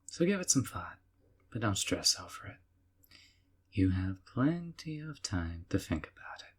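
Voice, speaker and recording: An actor playing a sweetly possessive young yandere man in an audio roleplay, doting and softly teasing. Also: on the low side, big pitch swings, a little slow, breathy edge, exaggerated voice. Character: doting obsessive young male yandere captor.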